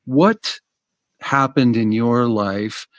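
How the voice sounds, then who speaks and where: A man's voice: medium pitch, extremely slow, no room sound. A man hosting a podcast, enthusiastic and upbeat as he asks his guest questions. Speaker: podcast host. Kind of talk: casual podcast interview.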